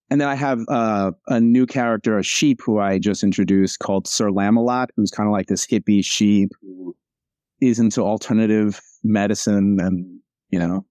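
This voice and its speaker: Male interview guest, casual and rambling, self-correcting, with a warm, relaxed tone and dry sound. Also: fairly low pitch, no room sound. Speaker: comic strip cartoonist, interview guest.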